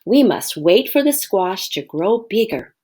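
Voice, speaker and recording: Casting: patient storybook papa pig read by a woman, reassuring, slow delivery with expressive melody. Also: mid-range voice, breathy edge. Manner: patient, explanatory.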